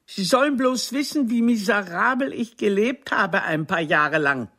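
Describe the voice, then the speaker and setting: A proud aging theatre diva in a radio play, speaking slowly and deliberately in a husky voice. Her pitch sweeps widely as she delivers each haughty, cutting phrase. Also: animated, a bit bright. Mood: cold, proud. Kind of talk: German radio drama acting.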